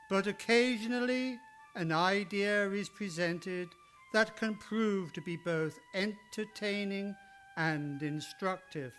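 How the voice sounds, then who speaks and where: Man playing a slow, stately suspense-film director. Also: sits high, fairly bright tone, heavily acted. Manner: formal, measured, deliberate. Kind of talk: old-time radio play narration.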